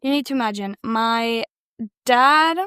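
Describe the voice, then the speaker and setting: A woman's voice: on the high side, a little slow, pushed and bright, clear, resonant tone, no echo, clean studio sound. A young woman who grew up in South America and speaks German and Spanish natively, telling her story as a podcast guest, searching for words as she explains. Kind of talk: casual conversational storytelling.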